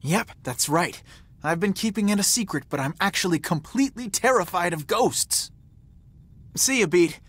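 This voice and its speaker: A laid-back, teasing young rogue. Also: male voice, high voice, expressive, normal speed, fairly bright tone, husky, heavily acted. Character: easygoing, wisecracking young man. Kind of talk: video game cutscene voice acting.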